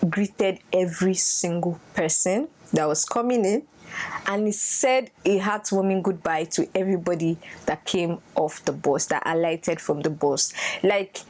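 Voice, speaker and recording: A woman from Nigeria with a Nigerian accent, telling casual stories on her vlog in an animated way, slipping into Yoruba phrases. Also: mid-range voice. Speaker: vlogger.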